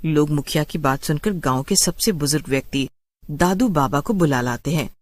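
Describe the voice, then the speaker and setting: A woman's voice: deep voice, moderate pace, dry recording. A woman narrating a scripted horror story in a dramatic storytelling style.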